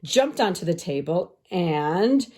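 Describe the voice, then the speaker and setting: A woman teacher narrating a children's story aloud, expressive and carefully phrased for young listeners. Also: lowish voice, takes it slowly.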